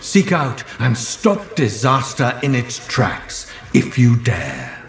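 Solemn, echoing keeper of trials. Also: male voice, medium pitch, huge pitch swings, slow, vocal fry, full character voice. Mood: solemn, grave. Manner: grave, archaic, declamatory. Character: solemn male ancient lancer lore-keeper presiding over trials. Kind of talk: scripted ceremonial game narration. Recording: echoey voice over game music.

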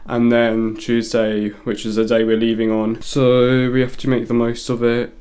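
A man's voice: medium pitch, monotone, moderate pace, a little mellow. Teen boy vlogging, losing his voice from a cold, rambly casual chat, small room. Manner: rambling, chatty, lots of fillers. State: hoarse from a cold, blocked nose. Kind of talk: casual vlog to camera. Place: small holiday cottage bedroom, indoors.

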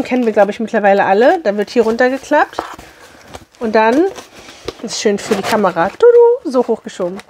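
A woman in her thirties with a hoarse voice, chatting cheerfully to viewers as she unboxes a beauty box in a small room. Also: a little high, darkish tone, slight background noise.

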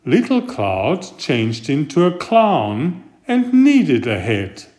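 A man reading a children's picture book aloud in a deliberate, expressive storytelling manner. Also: fairly high pitch. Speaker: storybook reader and author.

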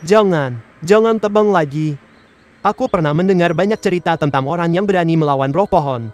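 A man's voice: a little high, a little fast, a little mellow, natural voice. A man voicing a frightened soldier-woodcutter who stammers and begs his king to stop in a dubbed cartoon. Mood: fearful. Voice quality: nervous, stammering.

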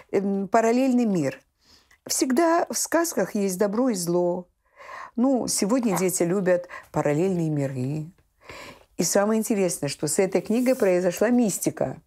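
An older woman from Azerbaijan speaks as a guest in a television interview. She tells her stories in a conversational, emphatic way, repeating key phrases for effect. Her voice sits at a medium pitch. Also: mellow. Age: 60s. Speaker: children's writer and teacher, interview guest. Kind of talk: animated conversational storytelling in a TV interview. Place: small indoor room set with shelves, books and textiles.

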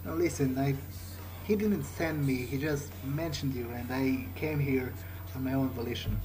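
A man giving in-character playthrough commentary on a game, talking conversationally in halting, hesitant phrases. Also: medium pitch, background noise. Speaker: gamer role-playing his character. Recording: game music behind the voice. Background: game music.

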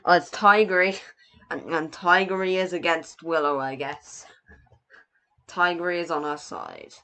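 A man's voice: on the high side, fairly even intonation, unhurried, background noise. A young man giving casual, rambling game commentary in a hesitant, self-deprecating way, sometimes reading captions aloud. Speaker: gaming video host.

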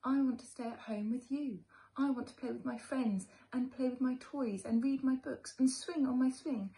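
A woman's voice: sits high, moderate pace, mellow, a little hiss or hum, pitched above the performer's own voice, poor audio. A woman voicing a little boy raccoon from a children's storybook, plaintive, childlike and curious. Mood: pleading.

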